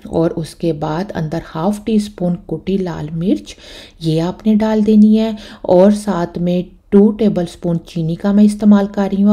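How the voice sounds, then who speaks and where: Cooking host, woman, Pakistani Urdu accent, low and very mellow, chatty explainer. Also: husky. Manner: chatty, step-by-step explaining with frequent "na" tags. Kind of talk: voice-over recipe instructions.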